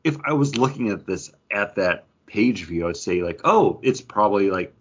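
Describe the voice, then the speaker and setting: A man's voice: lowish voice, lively intonation, moderate pace, muffled recording. A man co-hosting a podcast, giving his opinions in casual, conversational talk. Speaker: podcast co-host reviewing comic books.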